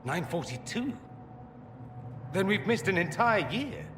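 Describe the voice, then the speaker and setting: A man playing an earnest mage in a fantasy game, articulate, expressive and theatrical. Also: high voice, measured pace, background noise, heavily acted. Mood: alarmed. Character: earnest, dramatic adult male mage time traveller. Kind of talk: dramatic fantasy game voice acting.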